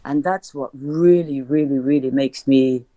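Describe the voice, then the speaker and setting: A woman's voice: a bass voice, steady tone, measured pace, dark tone, no echo, muffled recording. A young adult woman from Belgium, a DJ, talking reflectively in a podcast interview with many restarts. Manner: reflective, many restarts, speaks of feeling and energy. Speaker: DJ guest.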